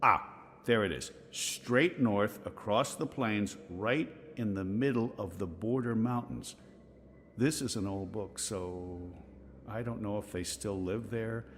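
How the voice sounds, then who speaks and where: A man's voice: fairly low pitch, measured pace, slight background noise, close to an everyday voice. An actor playing a scholarly, curious, dry-witted older man in a video game, musing in a bookish way. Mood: studious musing. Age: middle-aged. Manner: bookish, musing, exclaiming over finds. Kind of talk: video game dialogue acting.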